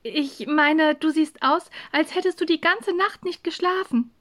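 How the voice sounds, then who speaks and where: A woman voicing a lively, teasing, know-it-all schoolgirl in a children's story, with an animated, explaining manner. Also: high voice, a little slow, voice pushed up. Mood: surprised, blunt.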